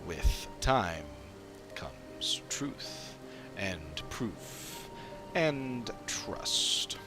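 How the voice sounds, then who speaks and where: A man voicing a proud, solemn creature from a fantasy game, speaking in halting, simple phrases. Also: sits low, sing-song, music in the background, exaggerated voice. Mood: grave, thoughtful. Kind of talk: game dialogue voiced by a streamer.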